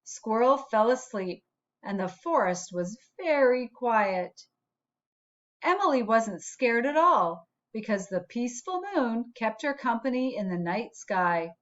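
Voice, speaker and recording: Female storybook narrator: high-pitched, unhurried, expressive read-aloud for children, slightly dull sound. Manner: measured, storytelling. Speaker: storybook reader.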